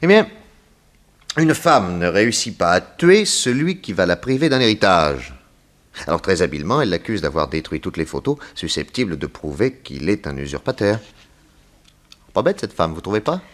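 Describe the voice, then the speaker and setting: Deep-voiced, animated male detective. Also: moderate pace. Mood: sly, accusing. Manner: probing, ironic, theatrical. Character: shrewd, insistent male private detective questioning suspects. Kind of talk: 1960s French radio detective serial acting.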